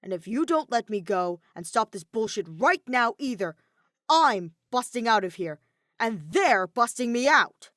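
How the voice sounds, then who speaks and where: A woman voicing a defiant, hot-headed teenage boy in an audiobook, growling and impatient in a forceful way. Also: fairly high pitch, very animated, a little slow, no room sound, clean studio sound. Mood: triumphant defiance. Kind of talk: character voice in fan fiction audiobook.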